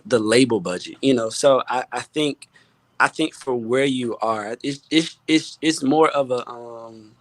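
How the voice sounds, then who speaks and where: Low-voiced man chatting, dry and close. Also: fairly bright tone, no room sound. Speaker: guest music producer. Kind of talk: casual chat, giving advice. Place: remote online video call.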